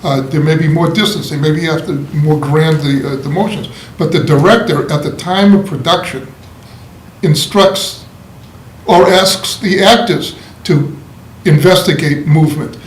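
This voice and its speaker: A man's voice: a little high, a little slow. A man disagreeing in a casual group discussion, with hesitant fillers. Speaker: writers' group member. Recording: echoey room, faint noise.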